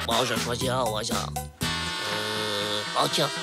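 An actor voicing a cocky, excitable ten-year-old schoolboy in a French children's cartoon, boastful and slangy, tripping over big words. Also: medium pitch, slow pace. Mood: muttering, bored. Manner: boastful, slangy, clowning, mangles long words. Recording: music behind the voice.

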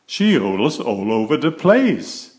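A man reading a picture book aloud to young children in an expressive, deliberate storytelling manner. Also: medium pitch. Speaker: picture-book reader and author of children's stories.

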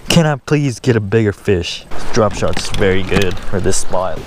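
A man's voice: lowish voice, animated, normal speed. A man vlogging casually as he fishes from a kayak, exclaiming in slangy bursts, recorded outdoors on a camera he wears. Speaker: fishing vlogger. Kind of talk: casual excited chat while fishing. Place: outdoors on a kayak on an open lake. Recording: loud outdoor boat and water noise. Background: water and boat noise.

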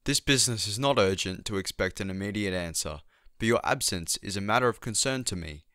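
A precise, stiffly polite scientist played by a man, low and bright in tone. He speaks formally and measuredly, in a dry radio play recording. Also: fairly quick, dry recording. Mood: formal concern.

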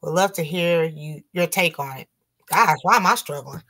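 Raspy woman hosting a video-call livestream. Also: low-pitched, normal speed, fairly bright tone. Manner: reads viewer comments aloud. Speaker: livestream host. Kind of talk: casual livestream chat. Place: home room.

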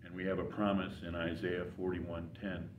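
An older man giving an informal devotional talk to viewers in a folksy, halting, earnest way. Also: low-pitched, flat delivery, noisy background. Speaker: gospel singer and channel host. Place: church sanctuary, indoors. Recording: roomy, distant, dull sound.

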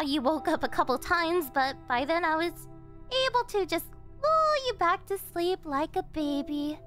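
Very high-pitched, sugary yandere girlfriend. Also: fairly bright tone, exaggerated voice. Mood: smug. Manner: sugary, teasing, possessive, theatrical. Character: obsessive yandere young woman, cheerful cafe server turned kidnapper. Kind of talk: yandere ASMR roleplay acting, anime-style. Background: music.

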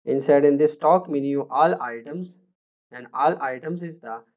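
Man with a halting coding-tutorial delivery. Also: on the high side, darkish tone, muffled recording. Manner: halting, many fillers and restarts, thinking aloud. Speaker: programming tutor. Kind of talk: screen-recorded coding tutorial.

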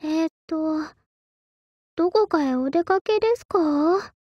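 A gentle young sword-spirit maiden from a mobile game, with a very high voice and unhurried pace. She speaks with formal courtesy and patient devotion, in a close, dry recording. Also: dry recording, muffled recording. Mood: coy. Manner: soft-spoken politeness, formal speech. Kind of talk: Japanese mobile game character voice lines.

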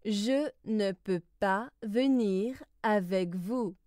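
Woman reading lesson phrases, super slow n deliberate, mid pitch. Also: dark tone, dry recording, studio quality. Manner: deliberate, carefully enunciated. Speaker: language lesson reader.

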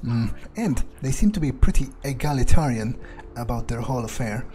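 A man's voice: medium pitch, animated, moderate pace, mellow, soft background music. A man thinking aloud in character during a video game playthrough, in a hesitant, musing way. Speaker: gamer role-playing in character.

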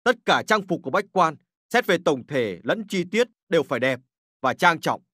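A man voicing a dignified, exacting but gracious emperor in a historical cartoon, formal and deliberate in his authority. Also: fairly high pitch, sing-song, a bit bright, no room sound, studio quality. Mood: stately.

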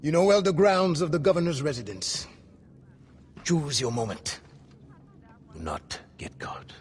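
An actor playing a somber, world-weary rebel ally in a video game, giving grave, formal counsel. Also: male voice, on the high side, very animated, unhurried, noisy background. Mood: cautioning. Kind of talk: cinematic video game acting.

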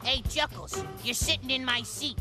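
A woman's voice: high voice, moderate pace, lightly acted. A woman voicing a tough, bossy little hare gang bully in a cartoon, pushy and taunting. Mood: menacing. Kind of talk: exaggerated cartoon voice acting. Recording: loud cartoon music underneath.

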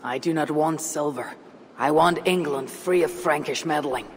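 An actress playing a calm, direct Norse warrior woman in a video game cutscene. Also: sits low, moderate pace, in a large hall, slight background noise, close to an everyday voice. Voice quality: plain, direct.

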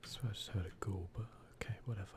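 A man's voice: on the low side, steady tone, quick pace, noisy background. A man with a British accent giving casual, whispered ASMR commentary as he plays a video game. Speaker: gaming video host.

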